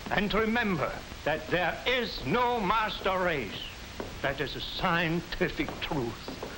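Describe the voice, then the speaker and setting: Slow, deliberate, dignified professor, man. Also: sits high, lively intonation. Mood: firm, defiant. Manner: deliberate, didactic, rhetorical. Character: dignified, defiant German professor lecturing his students. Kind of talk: 1940s film acting, classroom lecture. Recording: echoey, hissy 1940s film soundtrack.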